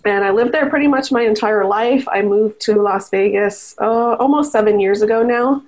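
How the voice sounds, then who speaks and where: A woman's voice: mid-range voice, moderate pace, a little mellow, low-quality recording. A woman from the Chicago area, a personal growth coach, giving easygoing, short answers as a guest on a podcast. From Northern Illinois, Chicago area. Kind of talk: casual interview chat.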